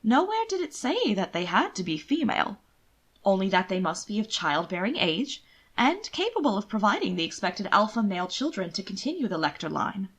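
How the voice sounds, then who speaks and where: A woman reading scripted period fiction aloud as an audiobook narrator, in an expressive, theatrical storytelling manner. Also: medium pitch, a little fast, slightly rough.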